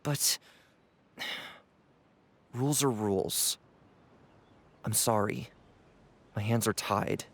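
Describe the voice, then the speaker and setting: Conflicted, apologetic young fellow. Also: male voice, on the low side, takes it slowly, long pauses, fairly bright tone, heavily acted. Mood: remorseful. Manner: hesitant, remorseful, earnest. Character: apologetic, conflicted young man bound by rules. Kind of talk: video game voice acting.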